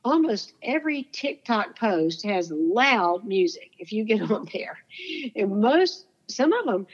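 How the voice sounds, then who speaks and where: A woman's voice: mid-range voice, very animated, slow pace, muffled recording. A woman guest in an interview, telling stories in an earnest, heartfelt way with a soothing voice. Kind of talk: casual interview storytelling.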